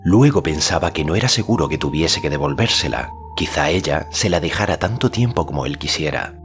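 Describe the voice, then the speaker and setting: An extremely low male voice reads literary fiction aloud. He tells the story in a measured, solemn way, with music clearly playing underneath. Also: animated. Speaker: audiobook narrator. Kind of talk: scripted literary narration of a fantasy novel. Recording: music behind the voice. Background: background music.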